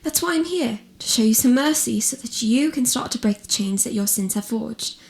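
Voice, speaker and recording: Woman playing a gentle high-voiced girlish spirit. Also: animated, moderate pace, vocal fry. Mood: earnest reproach. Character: gentle, earnest spirit in little girl's form. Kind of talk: radio drama acting.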